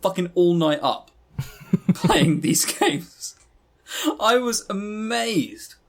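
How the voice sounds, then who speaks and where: A man's voice: high voice, animated, very slow. A man co-hosting a podcast, giving blunt, opinionated, sweary reviews in a casual chatty way. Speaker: podcast co-host.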